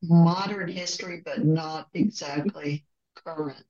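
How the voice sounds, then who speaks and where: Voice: mid-range voice, fairly even intonation, a little slow, lo-fi sound, crowd noise. Book group host, mid-range voice, casual and conversational group talk.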